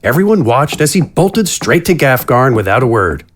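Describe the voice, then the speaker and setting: A man's voice: mid-range voice, big pitch swings, moderate pace, slight background noise. A man narrating a scripted fantasy story as its narrator.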